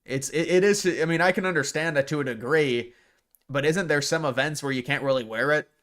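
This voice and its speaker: A man's voice: a little high, fairly quick, fairly bright tone. A man in the middle of a loose, casual live stream, talking over the clips he plays. He stumbles often, restarting his sentences and repeating words before he finds the point.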